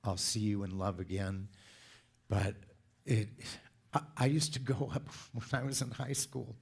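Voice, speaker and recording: A man in his sixties from California with a deep voice, giving a chatty, playful talk to a congregation and drawing the audience in. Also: level tone, unhurried, creaky, husky. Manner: chatty, playful storyteller who asks the audience for input. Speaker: speaker at a spiritual center.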